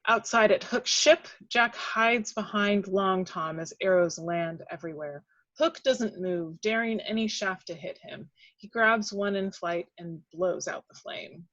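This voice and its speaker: A woman reading action descriptions as scripted narration at a table read, with measured, dramatic storytelling. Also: medium pitch, fairly bright tone, muffled recording.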